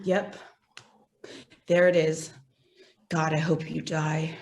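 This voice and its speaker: A woman's voice: low-pitched, little pitch movement, takes it slowly, darkish tone. A woman playing an earnest, exasperated conspiracy believer with a reproachful manner in a one-act play. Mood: disgusted.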